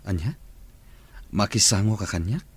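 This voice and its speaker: A man's voice: fairly low pitch, expressive, normal speed, slightly rough. A man playing a mild married man torn by temptation in a radio drama, hesitant and earnest, with an Ilocano accent. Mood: hesitant, guarded. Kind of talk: Filipino radio drama acting.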